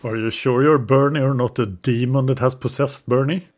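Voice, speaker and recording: A man's voice: mid-range voice, fairly even intonation, normal speed, darkish tone. A man hosting a history podcast, leading the talk with long explanations and light teasing. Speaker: podcast host. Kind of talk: explaining history in casual chat.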